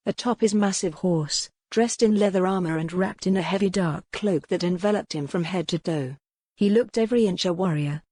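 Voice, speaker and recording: Female audiobook narrator for historical romance: slightly low register, measured storytelling, very dry close recording. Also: warm tone, no echo.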